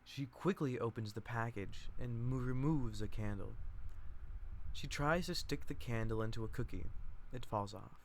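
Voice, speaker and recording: A man's voice: mid-range voice, normal speed, creaky, slight background noise. A man reading a play's stage directions aloud as an amateur, in an informal way, breaking into his own asides. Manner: self-interrupting, joking asides. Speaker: amateur play reader.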